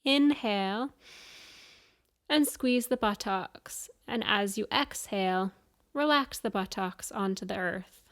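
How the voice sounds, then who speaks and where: A woman guiding a yoga nidra meditation, giving soothing, measured instructions with pauses between phrases. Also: mid-range voice, a bit bright. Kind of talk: guided meditation.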